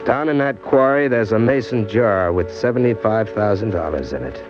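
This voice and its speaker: A man's voice: lowish voice, animated, moderate pace, close to an everyday voice. An American actor playing a condemned small-time crook in a 1940s radio crime drama, telling his story in hard-boiled slang with bitter, wry resignation. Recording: dull old radio recording, loud music behind.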